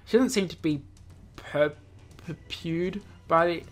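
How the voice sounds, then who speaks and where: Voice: medium pitch, takes it slowly. A video game host reading a visual novel aloud, stumbling over words and dropping in jokey offhand comments. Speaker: let's play video host.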